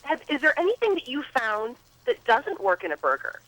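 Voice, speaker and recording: Bright-voiced woman interviewer on a thin line. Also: high-pitched, big pitch swings, brisk, low-quality recording. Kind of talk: casual interview questions.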